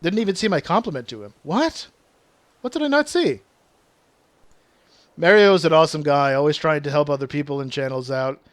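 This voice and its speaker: A man's voice: fairly high pitch, lively intonation, a little fast, long pauses, no room sound. A man with a regional French accent, a native French speaker, chatting casually with viewers on a game stream and reading their messages aloud. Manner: chatty, reads chat aloud, uses big words.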